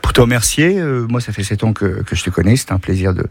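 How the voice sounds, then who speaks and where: A man's voice: fairly low pitch, animated, fast, darkish tone, husky, dry recording. A man, a radio program manager, paying a hesitant, filler-heavy tribute to a colleague on air. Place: radio studio.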